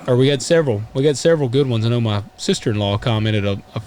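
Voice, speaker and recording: A man's voice: mid-range voice, animated, fast, darkish tone. Upbeat rambling man with faint background hiss. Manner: upbeat, rambling, searches for words. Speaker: podcast co-host. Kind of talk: casual podcast chat.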